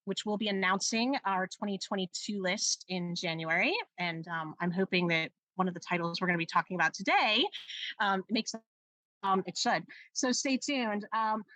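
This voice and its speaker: Female event host giving introductions: crisp bright voice, mid pitch, close dry recording. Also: dry recording, low-quality recording. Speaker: event host, school librarian.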